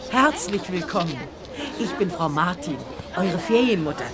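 A woman playing a brisk, reassuring mother in a children's radio play. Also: lowish voice, expressive, a crowd in the background, natural voice. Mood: welcoming.

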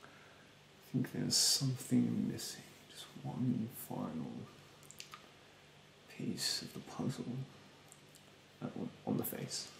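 Young man, low pitch, slow delivery, hushed ASMR roleplay, condescending and snooty, roomy bedroom recording. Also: sing-song, a little hiss or hum. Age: 20s. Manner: soft-spoken, breathy, often near a whisper; haughty, condescending, politely insulting. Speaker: ASMR roleplayer playing a rude beautician. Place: small bedroom, indoors.

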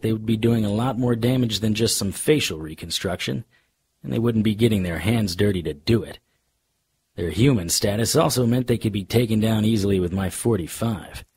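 An actor playing a wry, deadpan, hardboiled supernatural private investigator narrating a noir radio drama in a husky voice. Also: male voice, on the low side. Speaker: narrator and lead.

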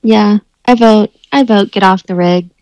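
A woman's voice: mid-range voice, measured pace, darkish tone, dry recording, highs cut off. A woman talking casually as a player in a tabletop roleplaying game.

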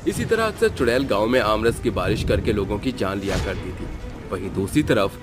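Animated male storyteller over music. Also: on the low side, a little fast. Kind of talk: scripted dramatic story narration.

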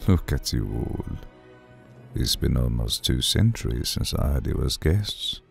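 Man voicing an extremely deep, gravelly old vampire lord. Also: big pitch swings, faint music behind, heavily acted. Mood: welcoming, formal. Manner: formal, unhurried, seductive. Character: suave, centuries-old male vampire lord, courteous, cool, possessive. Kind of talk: ASMR roleplay.

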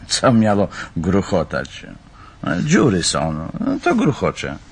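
A dismissive engineer in a radio play. His voice is fairly low and slow, with colloquial, mocking turns as he brushes off every worry. Some ambient noise sits behind him. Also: wildly swooping pitch, heavily acted. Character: evasive, scornful male engineer and driver brushing off worries. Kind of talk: naturalistic Polish radio drama acting.

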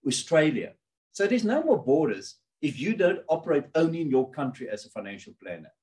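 A man's voice: a little high, fairly quick. A middle-aged man from South Africa whose first language is Afrikaans, talking animatedly with his hands as a guest on a video call interview from his home office. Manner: animated, gesturing with both hands. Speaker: guest financial planner. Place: home office with framed pictures.

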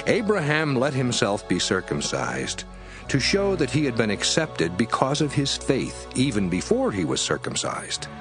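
Expressive male scripture narrator. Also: mid-range voice. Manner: emphatic, measured, rhetorical delivery. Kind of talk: dramatic scripted scripture reading. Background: music under the voice.

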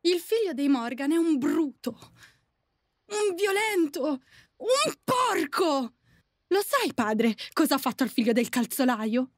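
Audio drama young woman, played by an actress, defiant and spirited, very high voice, unhurried delivery. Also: sing-song, no room sound, clean studio sound, heavily acted. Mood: indignant. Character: spirited, defiant young daughter of a strict father.

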